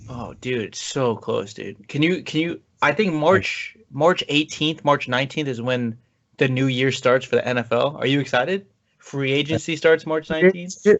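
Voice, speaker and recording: A man's voice: medium pitch, moderate pace, a bit bright. A man co-hosting a casual podcast, eager when talking football free agency. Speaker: podcast co-host. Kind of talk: casual sports podcast chat. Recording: dull lo-fi sound.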